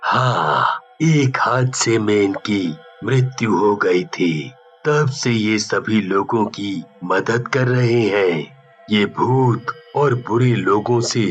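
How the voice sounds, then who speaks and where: A man playing a calm, reassuring holy man with a measured manner. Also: lowish voice, muffled recording, faint music behind.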